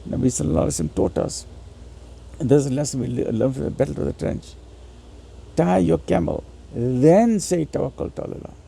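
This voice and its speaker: A man giving an informal religious lecture in a husky, gravelly voice, teaching emphatically and conversationally with repeated phrases. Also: fairly high pitch, brisk, mellow.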